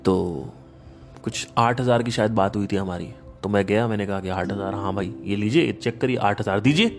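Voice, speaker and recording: A man hosting a podcast, giving a casual spiritual talk in an emphatic, animated storytelling manner. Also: lowish voice, a little fast, music in the background. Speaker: podcast host.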